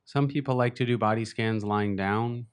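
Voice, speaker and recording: A man leading a beginners' body scan meditation, speaking slowly and gently with long pauses. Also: medium pitch, steady tone, smooth. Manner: slow, gentle, unhurried guidance with long pauses. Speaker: meditation teacher. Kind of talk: guided meditation.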